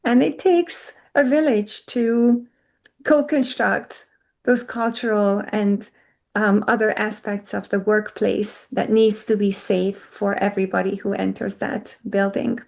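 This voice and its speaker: A woman's voice: medium pitch, steady tone, unhurried, gravelly, a little mellow. A woman whose first language is Hungarian, speaking earnestly as a panelist in an online discussion over a video call.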